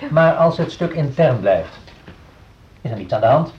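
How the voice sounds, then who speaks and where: A man's voice: mid-range voice, lively intonation, moderate pace, lightly acted. An actor playing a blunt, unconcerned male school manager challenging colleagues in radio drama meeting dialogue. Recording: echoey room, dull sound, background noise.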